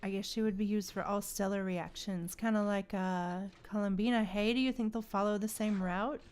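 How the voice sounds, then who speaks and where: A woman's voice: mid-range voice, steady tone, normal speed, darkish tone, clear, resonant tone. A woman hosting a casual gaming livestream, chatting with viewers and thinking aloud in a playful, conversational way. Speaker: livestream host.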